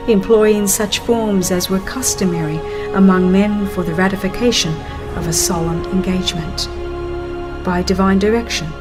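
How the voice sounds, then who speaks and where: A woman reads scripted religious narration at a slow pace. Her delivery is solemn and measured, like a dramatized audiobook, with music clearly playing behind her. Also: mid-range voice. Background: background music.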